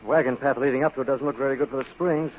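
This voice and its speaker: A suave, wealthy amateur sleuth, played by a man, quick-talking and urbane at a middle pitch. It is classic radio drama, heard through a muffled old recording. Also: steady tone, a little hiss or hum, close to an everyday voice. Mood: relaxed, alert. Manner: easygoing, urbane, brisk. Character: suave, determined wealthy man-about-town amateur detective. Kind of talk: 1940s radio drama acting.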